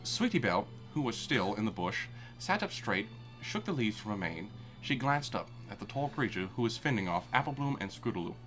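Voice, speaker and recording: Casting: male comedic story reader, mid pitch, animated intonation, irreverent, loose live feel, music underneath. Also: a little fast, poor audio. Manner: irreverent, breaks into swearing and amused asides. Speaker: reader of fan fiction.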